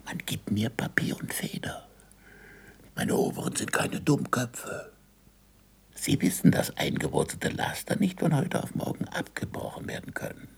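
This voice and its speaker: An older actor playing a weary, disgraced scientist in a German radio play, guarded and bitterly ironic, with a gravelly, often hushed voice. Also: male voice, mid-range voice, animated, unhurried, in a whisper. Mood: hushed, confiding.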